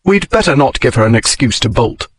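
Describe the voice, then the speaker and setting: A man voicing a proud gentleman adventurer who hides his unease, in audiobook dialogue. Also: medium pitch, very animated, fairly quick, breathy edge. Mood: stiff, defensive. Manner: clipped, stiff, cool.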